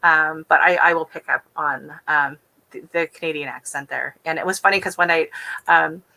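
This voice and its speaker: A woman's voice: low-pitched, moderate pace, gravelly, bright, forceful tone, poor audio. An American woman from Texas chatting casually on her gardening live stream, rambling with lots of fillers. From Texas, USA. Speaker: gardening channel host.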